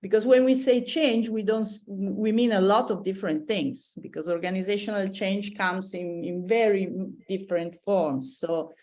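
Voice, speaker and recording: A woman's voice: mid-range voice, steady tone, moderate pace, few pauses, darkish tone. A middle-aged woman giving a conference presentation as a professor, speaking hesitantly with many fillers and restarts. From born in Poland, raised in Italy.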